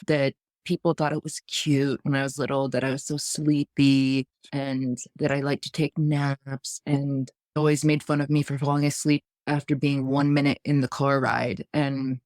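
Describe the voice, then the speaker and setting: A woman's voice: rumbling low, level tone, moderate pace, hardly any pauses, darkish tone, dry recording. Thoughtful woman in her thirties telling her story. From United States. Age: 30s. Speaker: podcast guest. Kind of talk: interview, personal story.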